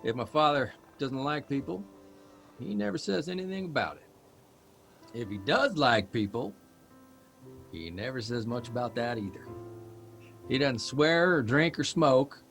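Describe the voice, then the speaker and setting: A man's voice: medium pitch, lively intonation, measured pace, vocal fry, pauses often, a bit bright, soft background music. A man playing a plain-spoken, reflective newspaper columnist in an understated, wry audio drama performance.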